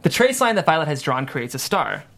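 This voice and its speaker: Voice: medium pitch, big pitch swings, a little fast, creaky, a bit bright. A narrator reading scene headings and stage directions at a script table read.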